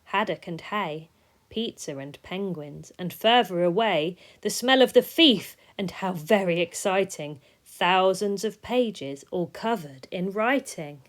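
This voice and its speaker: A woman reading a rhyming children's story aloud in an expressive, measured way, sometimes dropping soft. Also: lowish voice. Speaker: storybook reader.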